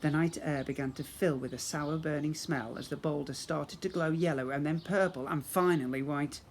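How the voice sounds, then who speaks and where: A man giving an expressive storybook narration, as a teacher reads to young children. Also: a little high, normal speed. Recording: clear background noise.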